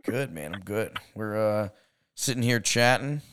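A man's voice: fairly low pitch, lively intonation, a little slow, a bit bright, smooth. A man from Long Island, New York, hosting a podcast in casual, slangy, laid-back banter. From Long Island, New York, United States. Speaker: podcast host.

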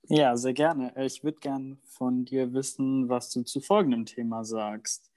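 A young man who grew up in Germany, a university student answering interview questions in a relaxed, casual way. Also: medium pitch, a little slow. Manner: relaxed, with many fillers. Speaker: guest.

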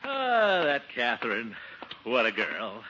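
A man's voice: mid-range voice, wildly swooping pitch, loud music behind the voice. A man playing a blustery, smitten middle-aged uncle with an exclamatory delivery in a 1950 radio sitcom. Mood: jovial. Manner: drawn-out, exclamatory, chuckling delivery. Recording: noisy, muffled old radio recording.

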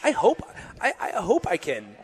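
A young man co-hosts a sports talk show, his voice slightly high. He talks casually and chattily, often stumbling and restarting. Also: wildly swooping pitch, moderate pace. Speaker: radio co-host. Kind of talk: casual sports radio chat. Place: bar and lounge.

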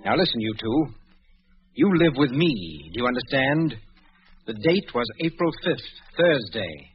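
An actor playing a bossy, scheming, hard-edged crook with curt, commanding speech in a 1940s radio drama. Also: male voice, mid-range voice, sing-song, unhurried. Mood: insistent. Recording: muffled 1940s radio recording.

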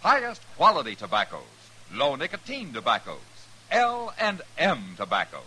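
Very bright, animated 1950s American radio adman. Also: mid-range voice, moderate pace, slightly rough, poor audio. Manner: emphatic, punchy salesman delivery. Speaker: commercial announcer. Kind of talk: 1950s radio advertising pitch. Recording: hissy 1950s radio recording.

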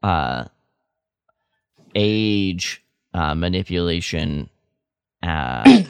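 A man's voice: sits low, lively intonation, very slow, vocal fry, clean tone, no echo, studio quality. A man co-hosting a podcast in casual chat, speaking emphatically and with enthusiasm. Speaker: podcast co-host.

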